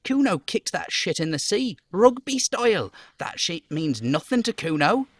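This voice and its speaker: A man voicing an aggressive, sneering young street boy who swears and talks about himself in the third person in a high, strained voice, in a game. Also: sing-song, normal speed, dry recording, studio quality. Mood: aggressive, scornful. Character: foul-mouthed aggressive bratty young street boy, Kuno.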